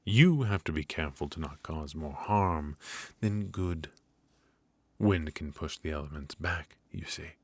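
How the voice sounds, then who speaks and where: A man voicing a cold, strict royal magic professor in an ASMR roleplay, lecturing in a measured, stern way into a close mic. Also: very deep voice, animated, darkish tone, no echo, exaggerated voice.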